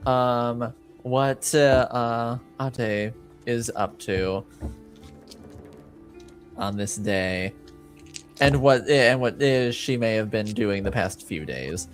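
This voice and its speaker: Slow-paced man narrating a tabletop game. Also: mid-range voice, soft background music. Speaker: game master.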